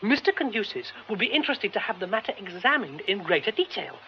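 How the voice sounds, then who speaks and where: An actor playing an excitable, romantic young British theatre man in a radio drama, exclamatory and effusive, often breaking off mid-thought. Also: sits high, fast talker. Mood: breathless excitement. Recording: dull, faintly noisy radio recording.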